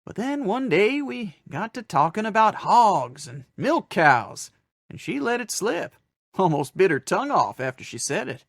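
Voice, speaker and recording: An actor playing a fretful, folksy old frontier storekeeper in a radio western, hesitant and fussing, with rustic diction. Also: male voice, high-pitched, very animated, measured pace, creaky, dry recording, studio quality, heavily acted. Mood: chatty, folksy.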